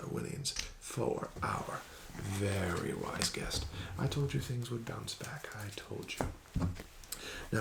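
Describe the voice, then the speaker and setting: A man doing an ASMR roleplay as a casino card dealer, talking slowly and softly with smug, teasing patter that slips into whispers. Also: lowish voice, vocal fry. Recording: audible background noise.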